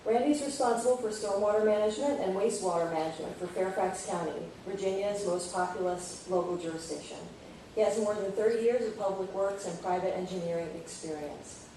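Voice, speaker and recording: A woman as forum moderator, reading a formal, measured introduction of a speaker. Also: medium pitch, mellow, a little hiss or hum. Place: university lecture room. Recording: very echoey room, voice far from mic.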